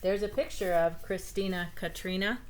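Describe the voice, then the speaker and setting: A woman reading a children's picture book aloud in an expressive, deliberate way. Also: mid-range voice, a little hiss or hum. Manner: slow, deliberate, explanatory delivery for children. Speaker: storybook reader.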